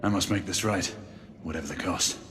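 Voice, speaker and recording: A man's voice: sits low, a little fast, a bit bright, slight background noise. A man playing a grim, stubborn, honour-bound former knight in a video game cutscene, speaking tersely and solemnly. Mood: grave resolve.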